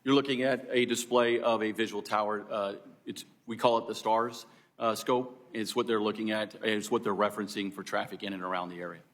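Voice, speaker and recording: A man's voice: fairly low pitch, steady tone, a little fast. A middle-aged man giving formal unscripted testimony as an expert witness, explaining things courteously and step by step. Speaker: air traffic control expert witness.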